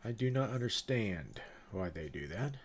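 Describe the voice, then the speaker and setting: Stuffed-up nasal man, low voice. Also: lively intonation, normal speed, breathy edge. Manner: rambling, loose, many fillers and restarts. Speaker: livestream host and ghost-hunting event organizer. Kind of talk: casual livestream chat with viewers.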